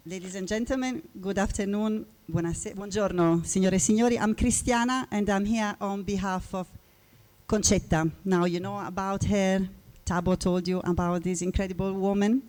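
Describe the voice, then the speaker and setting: A woman in her forties from Italy with an Italian accent, speaking at a book launch in a chatty, personal way with frequent restarts. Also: mid-range voice. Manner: warm, chatty, with frequent restarts and little laughs. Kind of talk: personal speech to an audience.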